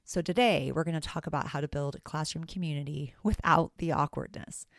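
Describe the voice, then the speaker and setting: Raspy-voiced woman hosting a teacher podcast. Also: low voice, brisk, no echo, studio quality. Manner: chatty, self-deprecating, laughs often. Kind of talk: casual solo podcast talk.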